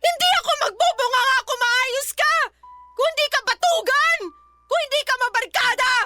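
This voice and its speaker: A woman's voice: very high-pitched, sharp tone. A woman playing a hurt, defiant young wife in a Filipino radio drama, speaking emotionally and bluntly with a Filipino accent. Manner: emotional, pleading, outspoken.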